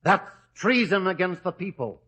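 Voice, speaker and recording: A man's voice: sits high, lively intonation, fairly bright tone, husky, exaggerated voice. An actor playing a righteous judge who indignantly defends an accused man in a vintage radio drama. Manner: indignant and deliberate. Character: righteous, defiant judge Joseph. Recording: dull old radio recording.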